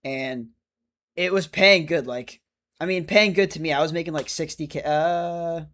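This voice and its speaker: Chatty young man, higher voice. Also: a little slow, fairly bright tone. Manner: chatty, rambling, self-correcting. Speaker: streamer. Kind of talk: casual livestream chat reading comments.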